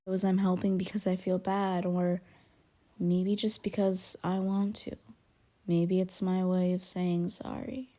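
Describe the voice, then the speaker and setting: A woman playing an aloof, grudgingly caring young werewolf in an ASMR roleplay, soft and breathy with whispered dips and blunt, guarded, halting delivery. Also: fairly low pitch, flat delivery, natural voice. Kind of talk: ASMR roleplay, intimate one-sided character dialogue.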